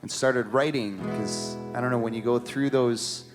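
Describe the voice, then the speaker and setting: A man's voice: medium pitch, steady tone, moderate pace, music in the background. A man from the Ottawa Valley in Canada hosting a songwriters' circle, chatting casually and reflectively between songs in a small live venue. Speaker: host of a songwriters' circle, musician.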